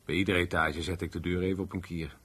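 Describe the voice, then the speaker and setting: A man's voice: sits low, level tone, fast talker, a bit bright. An actor playing a sullen, grumbling middle-aged tradesman husband in a naturalistic radio play, aggrieved and plain-spoken. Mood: agitated, storytelling. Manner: grumbling, plain colloquial speech.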